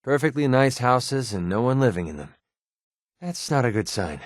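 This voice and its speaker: An actor playing a wry, guarded young American mercenary companion in a video game, talking in a sardonic, conversational way. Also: male voice, medium pitch, big pitch swings, normal speed, no echo, studio quality, natural voice. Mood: wary, wry. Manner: sardonic, conversational, hesitant asides.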